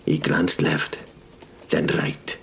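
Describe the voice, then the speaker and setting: A man narrating a first-person drama in a hushed, deliberate way, like scripted storytelling. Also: very deep voice, completely flat delivery, vocal fry, a little hiss or hum.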